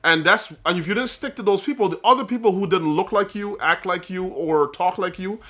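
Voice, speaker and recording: A man's voice: sits high, a little fast, sharp tone. A man from Guyana, raised in Saint Lucia, co-hosting a casual podcast, explaining his views earnestly with many restarts and "okay?" checks. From born in Guyana, raised in Saint Lucia. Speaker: podcast co-host.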